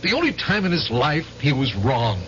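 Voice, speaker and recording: Radio drama role: guilt-racked veteran, highish voice, halting, pleading; noisy old broadcast. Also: male voice, big pitch swings, a bit bright, muffled recording. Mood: pleading, tormented. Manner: halting, repeating himself. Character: haunted, guilt-racked war veteran man, pleading and unhinged. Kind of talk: 1970s radio drama acting.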